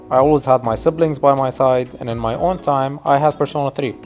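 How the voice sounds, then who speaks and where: A man's voice: mid-range voice, darkish tone. A man in his twenties whose first language is Arabic, narrating a scripted video essay in a casual, wry, nostalgic way. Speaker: YouTube narrator.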